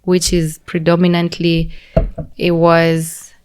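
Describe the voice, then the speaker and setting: A woman's voice: lowish voice, monotone, slow, darkish tone, smooth. A woman with a Kenyan accent, a guest on a casual podcast, explaining things step by step and spelling out examples, with a lot of "like" along the way.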